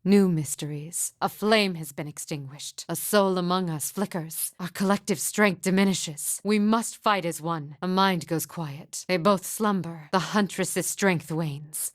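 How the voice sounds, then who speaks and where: A woman's voice: lowish voice, natural voice. An actress playing an enigmatic, mournful woman seer in a fantasy video game, speaking in a formal, cryptic and solemn manner. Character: enigmatic, soft-spoken, mournful woman seer, veiled from others' eyes.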